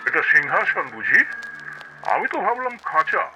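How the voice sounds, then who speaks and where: A man voicing a gullible, boastful tiger in a cartoon, pompous and easily flattered. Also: on the high side, normal speed, full character voice, poor audio. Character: gullible, boastful tiger, self-styled king of the jungle. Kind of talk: cartoon voice acting. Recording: loud music behind the voice.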